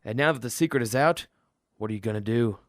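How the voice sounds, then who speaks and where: Actor as a gruff, impatient father in radio drama. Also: mid-range voice, fast talker, no room sound, studio quality, natural voice. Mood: probing, incredulous. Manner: blustering, brusque, interrogating. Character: gruff, impatient, demanding father of a grown son. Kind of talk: old-time radio drama acting.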